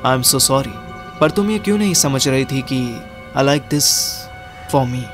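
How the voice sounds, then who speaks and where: A man's voice: medium pitch, animated, takes it slowly. Man acting deranged young cannibal killer, music behind. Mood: creepy tender. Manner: unhinged, code-switches into English. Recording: music under the voice.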